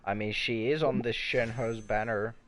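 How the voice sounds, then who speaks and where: A man's voice: medium pitch, steady tone, measured pace, fairly bright tone. A young man giving casual live gaming commentary, rambling along and swearing casually. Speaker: game streamer.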